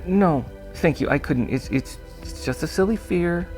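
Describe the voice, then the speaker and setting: A man's voice: fairly high pitch, animated, measured pace, a little mellow, soft background music, pitched above the performer's own voice. A man voicing a polite, curious and somewhat nervous young lady in an audiobook, with a hesitant manner. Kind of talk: audiobook character voice.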